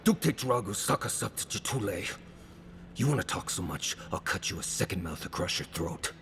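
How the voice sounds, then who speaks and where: An actor playing a gruff, hot-tempered dwarf tracker in a video game, surly and defensive. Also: male voice, sits low, very animated, moderate pace, noisy background. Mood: bristling, threatening. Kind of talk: game dialogue.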